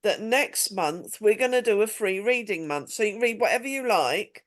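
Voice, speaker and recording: A woman hosting a readers' video call, brisk and organising as she wraps things up. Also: mid-range voice, expressive. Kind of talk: casual video call chat.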